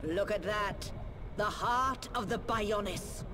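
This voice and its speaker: Slow, haughty villainess, played by an actress. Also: female voice, natural voice. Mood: smug, menacing. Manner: mock-courteous, slow, theatrical. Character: haughty, taunting villainess serving a god. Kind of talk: video game voice acting. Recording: clear background rumble and noise.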